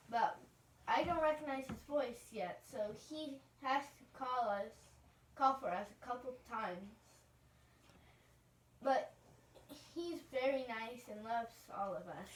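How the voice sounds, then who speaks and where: A young girl voicing a sweet, cheerful farm lamb puppet, reading scripted children's show lines haltingly. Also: a little high. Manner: halting, stumbling read-aloud delivery. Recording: roomy, distant home recording.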